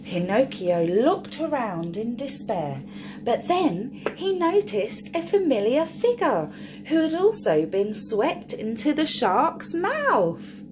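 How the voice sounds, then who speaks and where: A woman storyteller reads to small children with a highly animated, fairly high voice. She takes it slowly, drawing out key words and addressing the young listeners directly. There is clear background noise on the recording. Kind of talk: expressive picture-book read-aloud for children.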